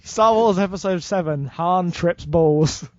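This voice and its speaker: A woman's voice: fairly low pitch, a little slow, a little mellow, no echo. A woman chatting casually on a group podcast in an emphatic, opinionated way. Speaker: podcast panelist. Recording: dull lo-fi close audio.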